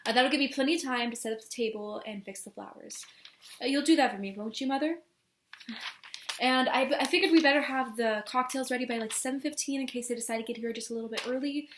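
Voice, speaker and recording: A woman performing a stage monologue as an earnest, flustered young woman in love, speaking haltingly with stammers. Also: sits high, a bit bright. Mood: anxious, affectionate. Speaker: amateur actor performing a monologue. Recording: echoey room with faint noise.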